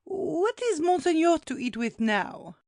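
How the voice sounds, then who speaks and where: Fussy old housekeeper woman in an audiobook drama, really high voice, wailing and drawn out, very dry close sound. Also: sing-song, a little mellow, no echo, exaggerated voice. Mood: plaintive. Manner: exclamatory, gasping, drawn-out lamenting. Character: fretful, alarmed elderly housekeeper woman, prone to outcry. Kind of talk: dramatised classic audiobook acting.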